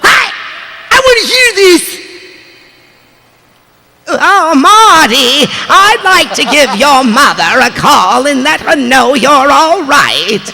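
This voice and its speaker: Casting: male actor as excitable cartoonish 1950s parent, very high and animated, bright edge, live convention reading. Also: slow pace, creaky, full character voice. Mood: chirpy, eager. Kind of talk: live dramatic script reading in cartoon voices. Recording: live convention stage with audience.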